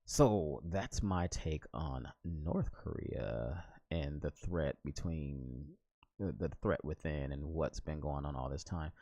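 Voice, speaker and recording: An American man in his thirties hosts a podcast alone, his voice low and relaxed. He rambles casually, circling back on his words with "like" and "you know" and slipping into "ain't." The sound is dry and close. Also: slow pace, dry recording. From United States. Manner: rambling, with many restarts and "like" fillers; informal, drops into "ain't" slang. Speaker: podcast host. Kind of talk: casual solo podcast monologue. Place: home, living in Korea.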